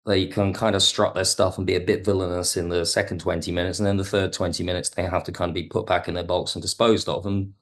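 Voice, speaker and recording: A man's voice: low voice, level tone, quick pace, few pauses. A man speaking as an interview guest, reflective and analytical, carefully qualifying his points as he explains. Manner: thoughtful, analytical, hedging. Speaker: podcast guest, audio drama writer.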